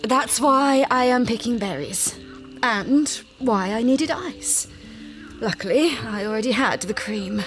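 Aged alien female villager, unhurried, higher-pitched, solemn and serene, sci-fi radio play, music underneath. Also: lively intonation. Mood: gentle. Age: older. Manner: slow, deliberate, solemn. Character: ancient dying female alien villager, grave, serene great-grandmother. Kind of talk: sci-fi audio drama acting.

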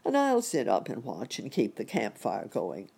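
Woman as a low-voiced kindly gentleman rabbit. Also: huge pitch swings, moderate pace, creaky, slightly rough, heavily acted. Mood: practical, kindly. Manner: courteous, gently instructive. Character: kindly grown-up gentleman rabbit, wise and polite.

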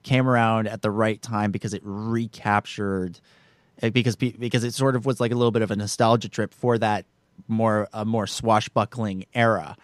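Podcast guy talking movies, lowish voice, keeps rewording stuff as he goes, dry close sound. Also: fairly even intonation, moderate pace, no echo. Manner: hesitant, repeating and rephrasing his words as he thinks aloud. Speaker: podcast co-host. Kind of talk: casual film discussion.

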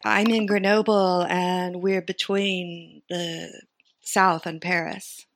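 A woman's voice: on the low side, flat delivery, takes it slowly, no echo. A middle-aged American woman chatting as an interview guest, talking freely and thinking aloud with fillers. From United States and Sweden. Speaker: interview guest, company founder. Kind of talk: casual conversational interview.

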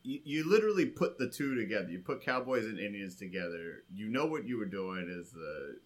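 A man's voice: lowish voice, very animated, a little fast. A man co-hosting a podcast, giving casual but emphatic film opinions and restarting to find his point.

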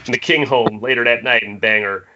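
A man's voice: mid-range voice, steady tone, brisk, pushed and bright, muffled recording. A man narrating the stage directions of a screenplay at a table read over an internet call. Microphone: internet video call.

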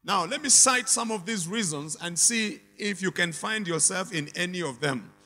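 A man's voice: sits high, lively intonation, moderate pace, bright, forceful tone, long reverb tail. A man preaching a sermon with emphatic, repetitive phrasing and call-and-response to the congregation.

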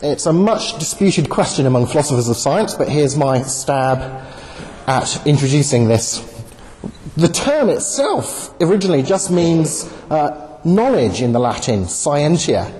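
Male lecturer, slightly croaky, mellow, animated delivery; reverberant hall. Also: a little high, a little slow. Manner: animated, wry lecturing with asides. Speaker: guest speaker, philosopher. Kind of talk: academic lecture. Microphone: handheld microphone. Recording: long hall reverb, faint noise.

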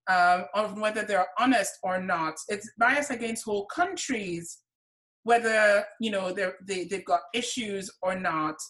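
Female interview guest at a medium pitch, earnest and clear in her explanations. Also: a bit bright. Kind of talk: conversational interview answers.

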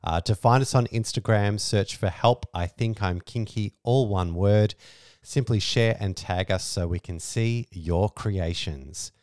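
A man presents a podcast in scripted narration. His voice sits on the low side and is smooth and clean. The recording is dry, with no room around it. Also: a little slow, a little mellow, no room sound. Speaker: podcast host.

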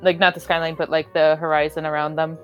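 A woman's voice: sits low, little pitch movement, quick pace, a bit bright, faint music behind. A woman playing a tabletop role-playing game, giggling and narrating what her character does. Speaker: player. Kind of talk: casual tabletop role-play.

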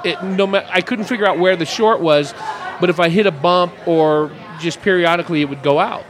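A man's voice: sits high, fairly quick. A man in his fifties hosting a casual chat in a café, joking and rambling through stories. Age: 50s. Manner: jokey, rambling storyteller. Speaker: podcast host.

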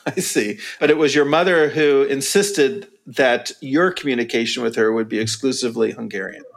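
A man hosting a podcast, reading a formal introduction and asking interview questions in a measured way. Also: mid-range voice, gravelly. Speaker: podcast host.

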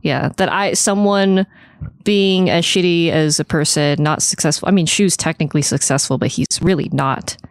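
A woman's voice: sits low, normal speed, dry recording, studio quality. A woman co-hosting a podcast, chatting informally and bluntly, with some swearing. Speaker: podcast co-host. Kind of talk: casual anime discussion chat.

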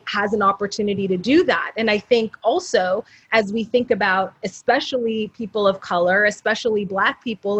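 A woman's voice: medium pitch, slightly rough. A woman moderating a panel, talking in a thoughtful, conversational way with plenty of "you know"s. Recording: dull lo-fi audio.

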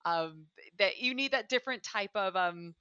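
Upbeat lady host, bright sharp voice, dry close sound. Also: medium pitch, lively intonation, normal speed, clean tone, dry recording, dull audio. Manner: enthusiastic, affirming, laughs often. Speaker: podcast host. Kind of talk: conversational interview.

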